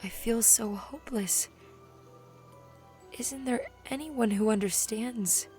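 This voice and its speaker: A woman playing a hurt, despairing teenage girl in an audio drama, halting and plaintive. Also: on the high side, mellow, faint music behind.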